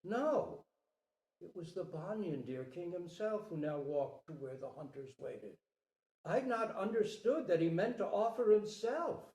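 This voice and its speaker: Mellow, expressive male storyteller in echoey room. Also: high voice. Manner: theatrical, emphatic, with drawn-out phrases. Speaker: storyteller, Zen teacher. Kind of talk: dramatic storytelling reading of a parable. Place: reverberant room at a retreat talk. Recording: roomy, echoing live recording.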